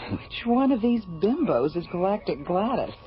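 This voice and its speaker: A woman's voice: medium pitch, sing-song, moderate pace, soft background music, close to an everyday voice. A woman playing a teasing space station officer. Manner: wry, teasing banter. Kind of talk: 1980s radio drama acting. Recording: dull lo-fi old radio recording.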